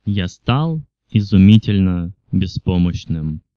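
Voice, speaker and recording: A man's voice: low voice, animated, slow pace, no room sound, highs cut off. A man reading literary fiction aloud as a scripted audiobook narration. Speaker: audiobook reader.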